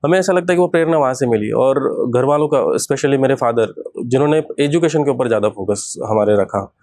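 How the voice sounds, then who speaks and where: A man's voice: medium pitch, brisk, a little mellow, no room sound, studio quality. A man, earnestly telling his own story as an interview guest, mixing in English words. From rural Maharashtra, India. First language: Marathi. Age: 30s.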